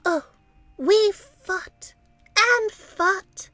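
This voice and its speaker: A woman's voice: extremely high pitch, very slow, sharp tone, no room sound, voice pushed up, full character voice. A woman voicing a boastful, melodramatic female storybook animal who tells tales with theatrical exaggeration. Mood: boastful, dramatic. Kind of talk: children's storybook character voice.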